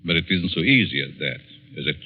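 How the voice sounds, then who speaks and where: An actor in a 1940s-style radio drama playing a sinister, calculating professor, measured and insinuatingly persuasive. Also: male voice, deep voice, lively intonation. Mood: probing, soothing. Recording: muffled old radio recording, long reverb.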